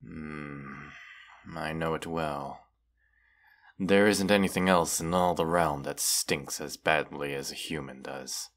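A man's voice: extremely low pitch, fairly bright tone, heavily acted. A man playing a proud, menacing, weary dragon who distrusts humans, talking haughtily in a fantasy audio roleplay. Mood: suspicious. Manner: slow, deliberate, haughty, with long pauses. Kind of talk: scripted audio roleplay monologue.

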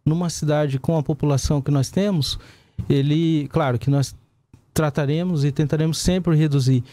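Mellow, higher-pitched Brazilian man. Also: dry recording. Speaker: police battalion commander, interview guest. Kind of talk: live studio interview answers. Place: small indoor broadcast studio. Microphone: desk gooseneck microphone.

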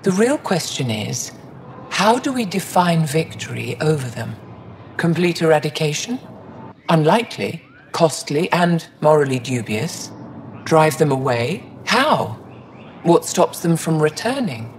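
A woman voicing an elderly, wise and wry alien scholar in a video game, speaking in a measured, dignified way. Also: deep voice, very animated, a little hiss or hum, full character voice. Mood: thoughtful, wry. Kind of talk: video game dialogue acting.

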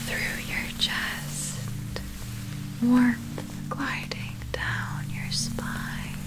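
A woman voicing a seductive, possessive vampire in an ASMR roleplay, with a breathy whisper and deliberate, hypnotic, ornate phrasing. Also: fairly high pitch. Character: seductive, possessive vampire turning a captive into immortal.

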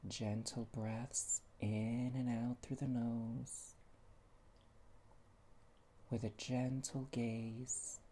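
Slow gentle guided meditation voice, dark and mellow, soft breathy endings, faint noise behind it. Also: mid-range voice, flat delivery. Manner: soothing, gentle, unhurried with long pauses.

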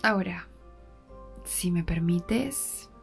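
A woman playing a defiant, sarcastic young woman in an ASMR audio drama, with a mocking, drawn-out, breathy delivery. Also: fairly low pitch, animated, faint music behind, exaggerated voice. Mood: impatient, sarcastic.

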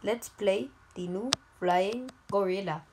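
A gaming YouTuber with an Indian accent, giving deadpan, halting comedic commentary over mobile gameplay. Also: female voice, medium pitch, heavy background noise. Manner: deadpan, halting, joking persona.

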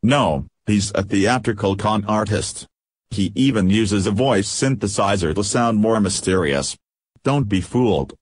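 An actor playing a grumpy, sardonic droid in an audio drama, curt and deadpan with a husky voice. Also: male voice, on the low side, unhurried. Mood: sardonic, gruff.

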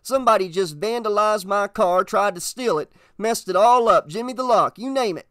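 Man acting a blustering small-town father, growling, gruff, short-tempered, raised pitch, storybook character. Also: moderate pace, fairly bright tone, dry recording, clean studio sound. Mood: irritated, blustering. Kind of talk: audiobook character voice.

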